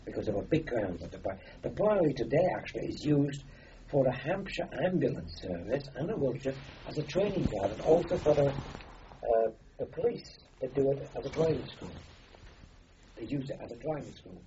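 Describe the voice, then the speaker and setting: An older man from Austria, a native German speaker, recalls his youth in an interview with a warm, mellow tone. His pitch rises and falls in a lively way as he meanders through the story with fillers and restarts. The recording is dull and lo-fi, with a faint hiss underneath. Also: normal speed. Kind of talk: oral history reminiscence.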